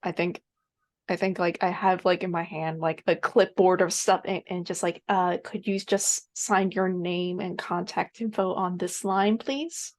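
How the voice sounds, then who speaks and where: Halting woman with a lowish voice. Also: fairly even intonation, a little mellow. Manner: halting, hesitant. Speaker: player in a tabletop roleplaying game. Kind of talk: casual improvised roleplay.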